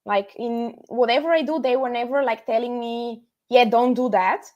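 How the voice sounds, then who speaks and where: Young woman, higher pitch, casual interview guest, reflective, earnest, hesitant pauses. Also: lo-fi sound. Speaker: interview guest, startup founder. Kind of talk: casual conversational interview answers.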